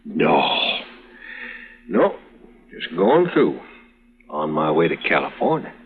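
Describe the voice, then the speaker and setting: An actor playing a smooth-talking, wounded drifter with an easy drawl, on a dull old radio recording. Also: male voice, extremely low pitch, big pitch swings, slow, exaggerated voice. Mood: easygoing.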